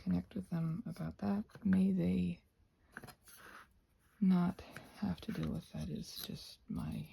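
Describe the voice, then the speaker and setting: Slow, very creaky-voiced American woman. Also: fairly low pitch, steady tone, a little hiss or hum. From United States. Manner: halting, trailing off, soft-spoken asides. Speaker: craft and ASMR channel host. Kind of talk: rambling casual chat while crafting.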